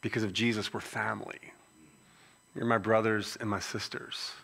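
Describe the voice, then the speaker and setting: A man's voice: on the low side, little pitch movement, normal speed, vocal fry, a bit bright, breathy edge. A middle-aged man from Philadelphia with a Philadelphia accent, a pastor giving a conversational sermon introduction with frequent restarts. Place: church sanctuary.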